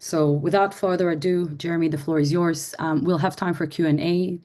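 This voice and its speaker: A woman hosting a video call, introducing the speaker with hesitant pauses and fillers. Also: on the low side, steady tone, warm tone. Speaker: event host.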